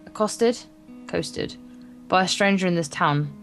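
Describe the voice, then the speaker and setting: A woman's voice: fairly low pitch, a little slow. A woman co-hosting a let's play, reading visual novel narration aloud, stumbling over words and adding wry, casual asides. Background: acoustic guitar game music.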